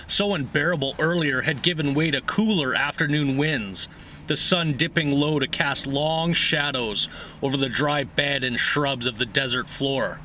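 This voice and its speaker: A man's voice: fairly high pitch, sharp tone, slight background noise. A man hosting a reading series, narrating a literary short story aloud.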